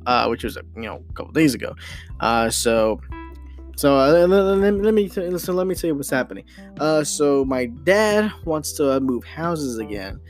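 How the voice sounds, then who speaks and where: A young man hosting a casual solo podcast, rambling and excitable, full of restarts and fillers. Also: mid-range voice. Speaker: podcast host.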